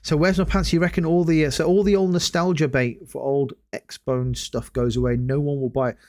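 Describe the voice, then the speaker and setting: A man hosting a casual solo gaming podcast, hoarse after hours of talking and rambling with many hesitant restarts. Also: on the high side, level tone, brisk, darkish tone. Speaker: podcast host.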